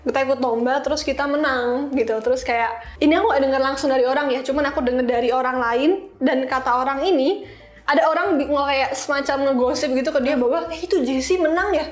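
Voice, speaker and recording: A woman's voice: high-pitched, fast. A young woman telling stories casually as an interview guest, chatty and slangy with English words mixed in. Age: young adult. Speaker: interview guest, iOS developer. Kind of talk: casual conversational storytelling. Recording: roomy, echoey sound.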